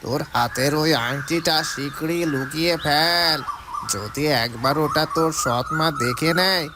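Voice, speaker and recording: A man's voice: a little high, unhurried, lightly acted. A man voicing a loyal, watchful animal companion in a cartoon, urgent and cautioning in manner. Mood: urgent warning. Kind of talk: cartoon voice acting. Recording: noisy track with animal sounds.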